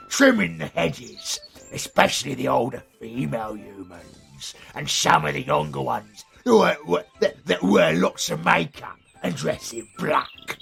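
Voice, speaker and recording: A big muscly troll chieftain in an audio comedy, with a heavily gravelled, rough-edged voice. He is blunt, simple and cheerful, and his pitch jumps about as he talks in slow, colloquial phrases. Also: a bit bright, heavily acted.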